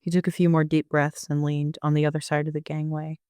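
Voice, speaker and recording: A man narrating a first-person story like an amateur audiobook reader, with an even storytelling delivery. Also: on the high side, fairly quick, clean tone, no room sound, studio quality.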